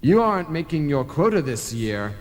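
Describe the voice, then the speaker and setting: A sanctimonious male ghost, played by a man in a radio drama, officious and theatrical. His pitch swings widely as he preaches in formal phrases, all in long, hollow reverb. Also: very animated, normal speed. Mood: ominous warning. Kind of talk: old-time radio play acting. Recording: long reverb, analogue radio broadcast tape.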